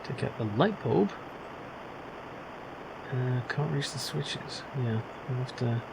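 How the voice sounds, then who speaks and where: A man's voice: medium pitch, lively intonation, slow, creaky, heavy background noise. A man giving casual let's play commentary, thinking aloud in a wry, musing way. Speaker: video game streamer.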